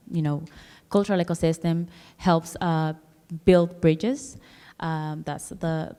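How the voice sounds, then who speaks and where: A lowish, mellow-voiced woman from the Dominican Republic presents at a conference, pausing often with fillers and restarts. Her voice is smooth and resonant, with a long reverb from the hall around her. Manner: hesitant, many fillers and restarts.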